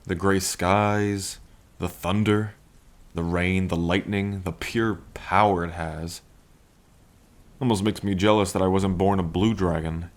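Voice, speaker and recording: A man's voice: deep voice, fairly even intonation, a little slow. A man playing a playful, lovestruck dragon king in an intimate ASMR roleplay, with a languid, teasing and tender manner. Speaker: roleplay voice performer. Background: rain.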